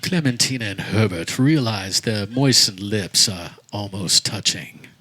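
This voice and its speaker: A man playing the formal narrator of a mock-romantic radio play, reading florid scripted stage directions deliberately. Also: on the low side, animated, heavy background noise. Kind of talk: scripted radio theater narration before a live audience. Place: backyard, before a live audience.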